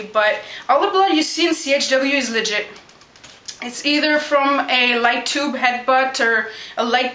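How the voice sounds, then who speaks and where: Bright-voiced young woman, chatty. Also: sits high, a little hiss or hum. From Montreal, Quebec, Canada. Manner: chatty, direct, keeps asking "you know what?". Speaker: backyard wrestler. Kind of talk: casual Q&A vlog. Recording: echoey room.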